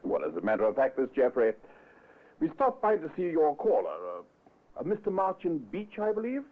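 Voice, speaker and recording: A British police inspector in a 1950s radio play. He is calm, clipped and firm, with a slightly high voice that moves expressively. The recording is thin and phone-like. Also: moderate pace. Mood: polite, pointed. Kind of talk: 1950s radio drama acting.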